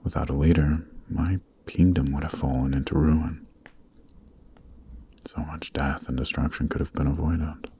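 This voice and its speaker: A calm, noble demon king, acted by a man for an ASMR fantasy roleplay, with an extremely deep and dark voice. He speaks softly and deliberately, close to the mic, slipping toward a whisper. A faint noise sits behind him. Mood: persuasive, grave. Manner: measured, soothing, deliberate, breathy near-whisper delivery. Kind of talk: ASMR roleplay, soft dramatic acting.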